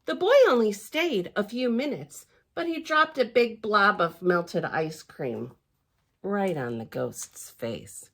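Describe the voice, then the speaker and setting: A woman reading a picture book aloud to young children as a library storyteller, in a theatrical, expressive manner with chatty asides. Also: medium pitch, takes it slowly.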